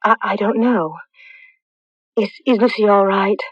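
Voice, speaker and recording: An actress playing a frail, bewildered young woman in a radio drama, with fragile, halting speech. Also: mid-range voice, no room sound, lightly acted. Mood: subdued. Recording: dull lo-fi old radio recording.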